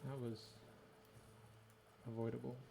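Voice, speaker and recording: A man's voice: mid-range voice, little pitch movement, measured pace, creaky, noisy background. An Australian man giving casual gameplay commentary, musing and thinking aloud to himself. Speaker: gaming video host.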